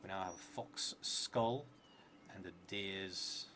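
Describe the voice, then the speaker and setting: Low-voiced man, noisy stream recording. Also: takes it slowly. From outside England. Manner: hesitant, muttering to himself, thinking aloud. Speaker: solo tabletop roleplay streamer. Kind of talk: live solo game narration, reading prompts aloud with casual asides. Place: indoor room in a theatre, seated at a table. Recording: clearly noisy live stream room.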